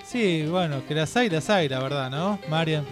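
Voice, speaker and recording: A man with a very high voice speaks in Rioplatense Spanish accent. He hosts casually, in loose radio chat. Light music plays behind him. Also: lively intonation, moderate pace. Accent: Rioplatense Argentine Spanish. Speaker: radio show host.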